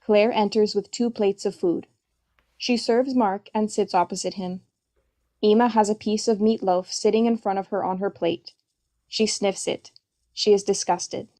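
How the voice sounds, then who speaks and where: Mellow woman reading stage directions. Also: mid-range voice, steady tone, measured pace. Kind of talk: scripted narration.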